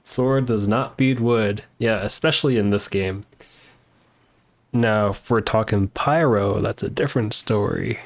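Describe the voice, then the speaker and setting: A man's voice: lowish voice, a little slow, vocal fry. A man giving casual live commentary on a game, laid-back, wry and joking. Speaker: game streamer.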